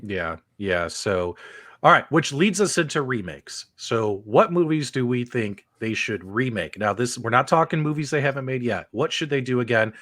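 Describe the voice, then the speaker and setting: A middle-aged man hosts a casual panel chat at a medium pitch. He speaks emphatically and tags his points with little questions. The sound is dry and close. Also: expressive, moderate pace, fairly bright tone, no room sound. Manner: emphatic, prodding listeners with tag questions. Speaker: live stream host.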